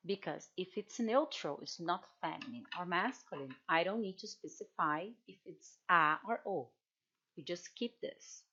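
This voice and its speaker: A woman's voice: mid-range voice, fairly bright tone, dull audio. A Brazilian woman with a Brazilian Portuguese accent, teaching Portuguese pronunciation in English and patiently repeating example words. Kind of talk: online language workshop.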